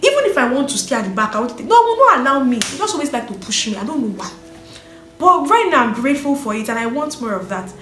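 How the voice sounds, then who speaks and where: A woman telling her own life story in an earnest, animated way. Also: sits high, fast talker. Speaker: vlogger sharing her story. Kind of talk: personal storytelling. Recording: echoey room with faint music.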